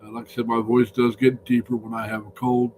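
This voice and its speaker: A man chats loosely on a live stream, his voice deeper than usual from a cold. He rambles and greets viewers by name, at a middling pitch, over a faint hum. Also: level tone, brisk, darkish tone. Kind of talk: casual live-stream chat.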